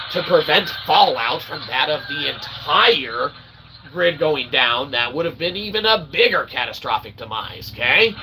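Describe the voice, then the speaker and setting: A man's voice: medium pitch, background noise. A man hosting a livestream, rambling through a monologue and checking in with "okay?" and "all right". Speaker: livestream host.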